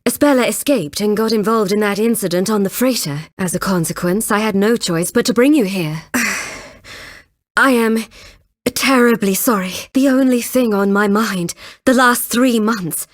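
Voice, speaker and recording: A woman's voice: medium pitch, measured pace, lightly acted. An actress playing a haughty, vengeful young woman inquisitor in a dramatic video game, with an imperious, theatrical delivery. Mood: composed, regretful.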